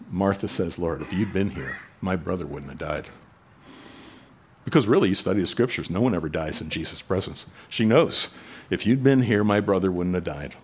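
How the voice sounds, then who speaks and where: A man preaching a sermon in a conversational way, rising in pitch and volume for emphasis. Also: breathy edge. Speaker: pastor.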